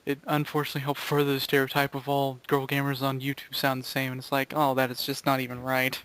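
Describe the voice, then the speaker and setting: A young man in a loose, rambling group chat about nerdy things. His voice sits at an ordinary mid pitch, and he talks in a joking, animated manner like a regular in a gaming video crew. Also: fast, clear, resonant tone.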